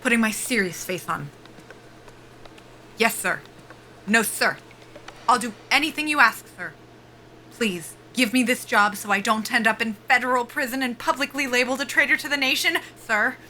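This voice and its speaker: An actress playing an anxious, self-deprecating young woman soldier in a sci-fi audio drama, halting and wry in a naturalistic style. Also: fairly high pitch, bright, forceful tone, a little hiss or hum. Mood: wry, nervous.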